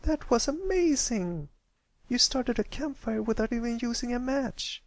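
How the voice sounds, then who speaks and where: A man voicing a sweet, excitable and slightly timid little pony filly in a storybook reading. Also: very high voice, measured pace, voice pushed up, heavily acted. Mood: amazed.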